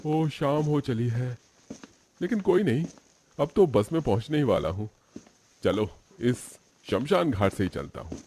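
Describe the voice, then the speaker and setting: A man's voice: mid-range voice, very animated, unhurried, darkish tone, a little hiss or hum, voice pushed up. A man playing a devout young villager, anxious and pleading, in a horror cartoon story. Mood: hopeful.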